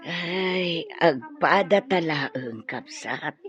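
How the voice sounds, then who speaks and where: A woman's voice: sits low, animated, drawn-out words, fairly bright tone, faint music behind, heavily acted. An actress playing a worried, pious, middle-aged Filipino mother in an Ilocano radio drama, speaking in a sighing, lamenting way. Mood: resigned. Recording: muffled, roomy radio drama audio.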